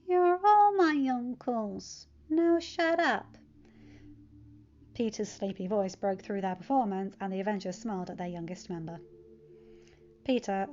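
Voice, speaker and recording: A woman narrates a piece of fiction in a scripted storytelling style. Her voice sits at an average pitch for a woman. The recording is a little muffled. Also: steady tone, normal speed, warm tone.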